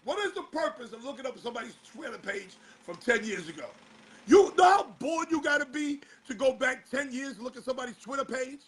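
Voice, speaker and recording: Man, high-pitched, sharp bright tone, slightly hoarse, casual host commentary, questioning and exasperated. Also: expressive, a little fast, low-quality recording. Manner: fires rhetorical questions, exasperated. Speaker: show host. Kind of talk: casual talk-show commentary.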